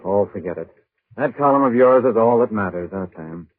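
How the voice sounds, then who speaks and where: Animated, nervy newsroom colleague. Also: male voice, fairly low pitch, a little fast, slightly rough, dry recording. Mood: uneasy, concerned. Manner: animated, urgent. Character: brisk, nervy male newspaper colleague, knowing and concerned. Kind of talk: 1940s radio drama acting. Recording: tinny 1940s radio recording.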